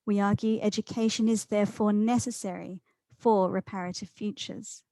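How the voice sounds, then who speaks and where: A woman's voice: medium pitch, steady tone, unhurried, warm tone. A woman hosting an academic seminar. She reads a prepared talk in a composed way and then politely hands over to a panelist. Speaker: seminar host and moderator.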